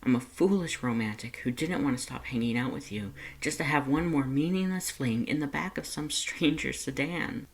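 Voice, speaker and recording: A reader voicing an anguished, self-hating but gentle male skeleton monster, strained and halting, in a fan fiction story. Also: mid-range voice, pitched above the performer's own voice, natural voice. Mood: bitter self-hatred. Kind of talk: fan fiction read-aloud character voice.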